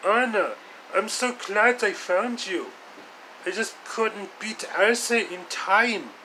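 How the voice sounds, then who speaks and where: An eager young storybook hero, put on by a man, speaks in a raised, bright voice. He is earnest and exclaiming, and takes his time over the words. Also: high-pitched, lively intonation, slow, a little hiss or hum, pitched above the performer's own voice, full character voice, rough recording. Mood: earnest, glad. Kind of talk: storybook character voice.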